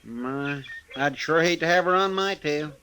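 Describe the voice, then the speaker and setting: Deferential rural American sidekick, 1950s radio western. Fairly high male voice, countrified, faint recording noise. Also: a little slow, bright, forceful tone. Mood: gossipy, amused. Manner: countrified, deferential, stammering when cornered. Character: folksy, timid, gossipy deputy sidekick. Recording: slightly noisy old radio recording.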